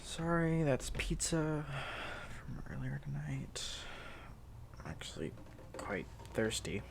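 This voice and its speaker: Guy acting as sleepy affectionate student doting on his pet, asmr roleplay, slow n gravelly, bit of background noise. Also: mid-range voice, big pitch swings, full character voice. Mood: tender, teasing. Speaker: audio roleplay performer.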